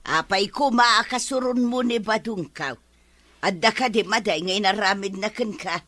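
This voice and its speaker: A woman's voice: medium pitch, normal speed, fairly bright tone, natural voice. A woman acting a fiery, scolding village wife in an Ilocano comedy drama, with an Ilocano accent. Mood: questioning. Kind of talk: Ilocano radio-style comedy drama dialogue. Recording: faint music behind.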